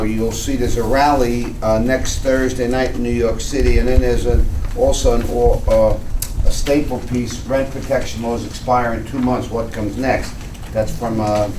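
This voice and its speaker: A man who directs a tenant organization, giving a loud, explanatory workshop talk with frequent restarts. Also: mid-range voice. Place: community meeting room. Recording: echoey room, distant mic, noisy.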